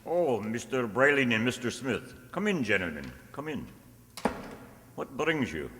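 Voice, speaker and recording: A man's voice: medium pitch, big pitch swings, unhurried, a bit bright, soft background music. An actor playing a flustered, polite inventor and salesman of robots in a radio play, with a theatrical manner. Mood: obliging. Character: flustered, obliging male inventor and seller of marionette robots. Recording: noisy echoey hall, stage radio play.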